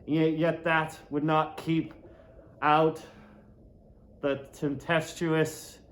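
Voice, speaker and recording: Slow, theatrical male reader, higher-pitched voice. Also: level tone. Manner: deliberate, dramatic, lingers on and repeats words. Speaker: audiobook reader. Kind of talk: literary narration, theatrical reading of a classic novel.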